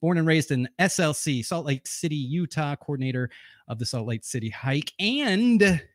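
A man's voice: on the high side, lively intonation, moderate pace, no room sound. Man, casual podcast host, laughs a lot, rambles, dry room.

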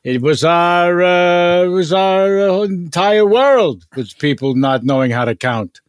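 A man's voice: sits high, animated, smooth, no echo, clean studio sound. A man playing a rambling cranky old accountant. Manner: rambling, halting, full of uh's. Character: fussy older male accountant, rambling and cranky. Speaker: improv performer. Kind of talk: improvised comedy scene.

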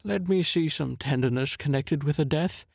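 Old miser, voiced by a male reader: breathy, higher, pleading, dry studio sound. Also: moderate pace, dry recording. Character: shaken old miser begging a spirit.

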